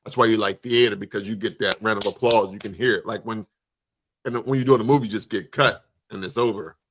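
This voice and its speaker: A man's voice: on the low side, quick pace, a bit bright. A man co-hosting a podcast, chatting casually in informal, slangy language with some swearing. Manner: informal slangy speech with profanity. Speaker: podcast co-host. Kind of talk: casual chat.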